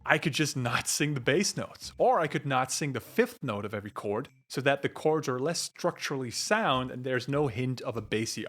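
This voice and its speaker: A young man with a low, full voice explaining vocal recording techniques to camera in a small home studio, talking with animated hand gestures. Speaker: music producer and vocal coach. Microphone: studio condenser mic on boom arm in front.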